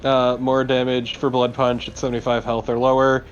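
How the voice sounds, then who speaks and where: A man's voice: medium pitch, completely flat delivery, moderate pace, muffled recording, slight background noise. A man co-commentating on a game in casual chat with friends. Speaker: let's play co-commentator. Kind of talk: casual chat over gameplay.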